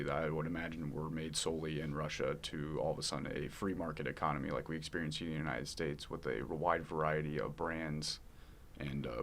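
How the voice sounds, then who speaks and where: A man's voice: extremely low pitch, fairly bright tone, slight background noise. A young man hosting a student podcast, reading a formal scripted introduction and interview questions. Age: young adult. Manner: formal, reads prepared lines.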